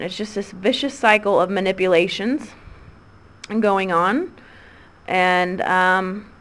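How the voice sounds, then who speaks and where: A woman in her thirties with a Midwestern American accent talks in a rambling monologue. She hesitates constantly, filling gaps with ums and restarting her sentences. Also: mid-range voice. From Midwestern United States. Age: 30s. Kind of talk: rambling recorded voice message.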